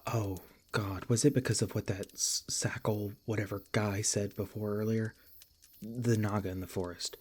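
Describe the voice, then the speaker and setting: A man playing a protective, tender monster hunter in an ASMR roleplay, speaking in a gentle, halting, earnest way with a husky, breathy voice. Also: on the low side, fairly even intonation. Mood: tender, concerned. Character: protective, tender male monster hunter comforting his beloved. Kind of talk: ASMR roleplay, soft intimate acting.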